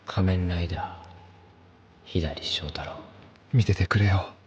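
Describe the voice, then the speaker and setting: An actor playing a wistful young detective hero in a Japanese TV drama. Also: male voice, low voice, normal speed, close to an everyday voice. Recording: muffled TV clip, noisy background.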